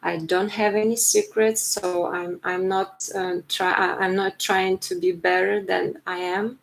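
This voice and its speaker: A woman in her forties with a Ukrainian accent, talking earnestly to her live stream viewers in a halting way, with many fillers and restarts. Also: mid-range voice, little pitch movement, gravelly, rough recording. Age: 40s. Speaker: writer streaming to her channel. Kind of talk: casual live stream monologue.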